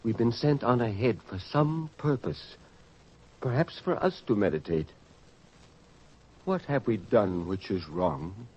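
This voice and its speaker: A worried earnest disciple, raspy voice, grave and hesitant, old radio drama, lo-fi sound. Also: male voice, fairly low pitch, animated, a little slow, long pauses, a little mellow. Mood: troubled. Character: troubled, earnest disciple prone to challenge his companions. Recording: dull lo-fi radio drama recording.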